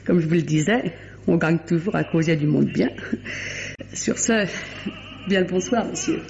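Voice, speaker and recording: An actress playing a garrulous, working-class Parisian theatre usherette in a 1950s-style French radio mystery, talking colloquially and groping for words. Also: female voice, lowish voice, normal speed, creaky, darkish tone, a little hiss or hum. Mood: flattered, obsequious. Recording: dull vintage radio recording with music.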